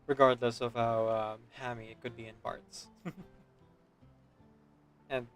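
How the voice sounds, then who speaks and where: A man's voice: mid-range voice, flat delivery, slow. A man doing casual gameplay commentary, musing to himself in a hesitant, trailing way. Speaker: streamer. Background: game music behind the voice.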